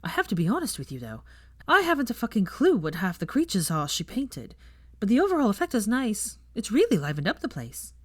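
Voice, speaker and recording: A woman's voice: mid-range voice, wildly swooping pitch, brisk, dry recording, studio quality, pitched above the performer's own voice. A woman voicing a blunt, cheerful young witch teacher in a story reading, breezy and prone to casual swearing. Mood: chatty, upbeat.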